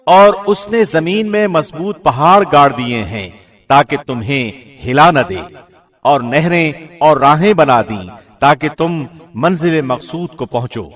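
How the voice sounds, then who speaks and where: A man with a fairly high voice reads scripture translation in a formal radio-presenter style. His delivery is solemn and measured, with each phrase carefully set out. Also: animated, a bit bright. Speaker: professional radio host reading translation.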